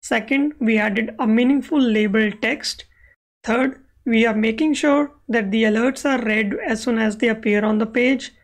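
A woman's voice: a little high, normal speed. A woman explaining code step by step as the instructor in a screencast tutorial. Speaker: web accessibility instructor.

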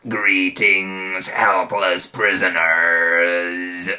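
A huge, cold robot bull pirate chief, acted out by a man from a children's storybook. The voice is low and grating. It booms out slowly and commands with menace. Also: flat delivery, sharp tone, heavily acted. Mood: triumphant menace. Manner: slow, booming, domineering.

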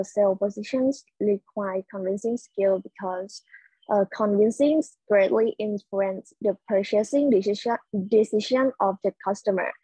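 A woman, a student answering job interview questions in a careful, halting way over a video call. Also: medium pitch, warm tone, husky, dry recording, low-quality recording. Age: 20s. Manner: careful, halting, restarts words.

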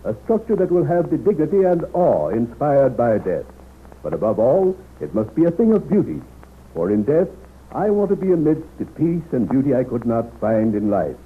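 A man's voice: medium pitch, big pitch swings, moderate pace, husky. An actor playing an aging, wealthy, dignified man in 1930s radio drama, solemn and formal in delivery. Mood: grave, solemn. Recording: muffled, hissy 1930s radio recording.

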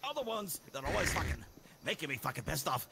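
A man's voice: on the high side, big pitch swings, fast talker, vocal fry, background noise. A man role-playing in a video game, rambling and repeating himself with casual profanity. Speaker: role-play gamer.

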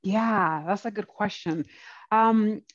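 A woman's voice: mid-range voice, slow. A woman in her forties from the Dominican Republic, telling her own story openly as an interview guest over a video call from a home office. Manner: open, animated, laughs while talking. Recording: dull lo-fi video call audio.

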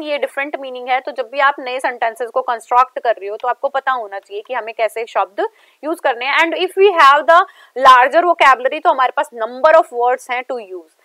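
High-voiced young Indian woman teaching. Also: fairly bright tone, no echo, low-quality recording. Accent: Indian English. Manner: mixes Hindi and English, slows down on English examples. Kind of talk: recorded lecture over slides. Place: indoor room.

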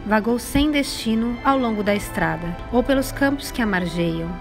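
Brazilian woman narrating over soft music. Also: a little high, normal speed. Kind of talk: scripted storybook narration.

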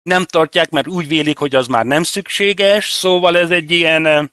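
An older man, an interview guest, explaining things conversationally in measured, separate phrases. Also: a little high, fairly bright tone, clean tone, no room sound, clean studio sound. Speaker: interview guest, church representative.

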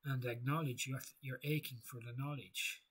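A man with a husky voice giving a rambling philosophical monologue, halting and repeating himself as he thinks aloud. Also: medium pitch, steady tone, fairly bright tone. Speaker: video creator.

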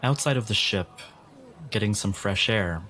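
A man running a tabletop game as game master, narrating scenes descriptively in a measured way. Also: lowish voice, pushed and bright, slight background noise. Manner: measured, addresses players in second person.

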